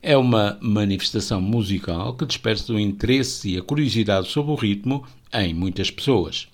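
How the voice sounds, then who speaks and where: A man presenting a scripted educational piece in a steady, measured reading voice. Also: lowish voice.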